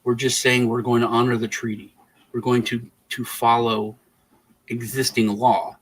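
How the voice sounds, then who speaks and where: A man with an average-pitched voice talks casually as a live show host. He rambles through his thoughts, repeating words and restarting phrases as he goes. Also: level tone, a little slow, husky, rough recording. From Texas and Germany. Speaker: podcast host. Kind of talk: casual political chat.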